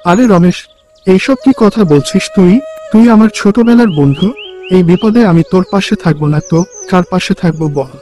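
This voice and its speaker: Cartoon casting: generous childhood pal from the village male actor, high-pitched, brotherly and reassuring, music underneath. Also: normal speed, dark tone, natural voice. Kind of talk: cartoon folk tale dialogue. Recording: music behind the voice.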